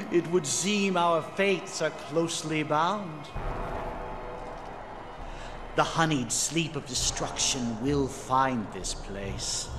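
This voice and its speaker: A man playing a sinister, mocking cult leader in a fantasy game, speaking in grand archaic phrases. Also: fairly high pitch. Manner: archaic, grandiose, slow menacing delivery. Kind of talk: theatrical fantasy game acting. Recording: loud music behind voice.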